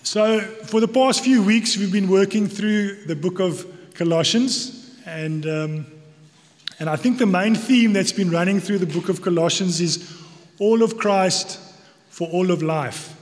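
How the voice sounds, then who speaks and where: A man with a South African accent giving a sermon as a church elder, speaking earnestly in deliberate phrases with repetition for emphasis. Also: high-pitched. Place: church hall. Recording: long reverberant hall echo.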